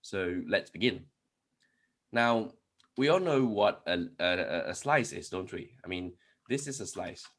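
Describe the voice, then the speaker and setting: A man with a low voice explains programming concepts to a conference audience. His tone is slightly husky, and his explanations loop back on themselves with fillers and restarts. Keyboard typing is heard now and then behind him. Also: animated, measured pace, fairly bright tone. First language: not Polish. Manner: explanatory, many restarts and fillers, asks rhetorical questions. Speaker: conference speaker. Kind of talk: live-coding tech talk.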